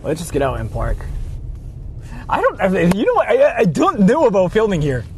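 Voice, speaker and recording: High-voiced, animated man livestreaming from a car. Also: normal speed. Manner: rambling, repeats phrases, swears casually. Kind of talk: casual IRL livestream chat while driving. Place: inside a car. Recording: noisy car interior. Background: vehicle noise.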